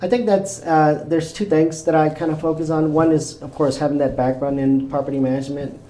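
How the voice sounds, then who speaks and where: A relaxed man from Mexico answers interview questions in a warm, low-key voice, often pausing on "uh" and "you know." He sits back from the mic, and the room around him echoes. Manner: relaxed, rambling with many fillers and restarts. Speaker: realtor. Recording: roomy, echoey, mic at a distance.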